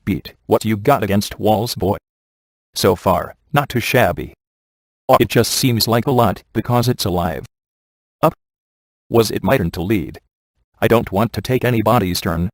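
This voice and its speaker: An actor playing a sardonic, laid-back male ghost hunter in a video game, delivering wry scripted quips in a casual deadpan manner. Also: lowish voice, no echo. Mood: deadpan, teasing. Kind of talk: scripted game dialogue, dry wisecracks.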